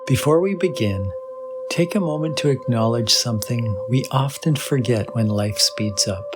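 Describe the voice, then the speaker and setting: A man leading a guided meditation in a soothing, measured way with long pauses, in a slow meditative style. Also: medium pitch, animated. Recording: voice over background music with singing bowl. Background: meditation music.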